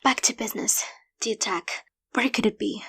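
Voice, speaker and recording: A woman playing a determined, urgent young investigator in an audio drama, with a husky voice. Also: mid-range voice, moderate pace, pushed and bright. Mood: focused.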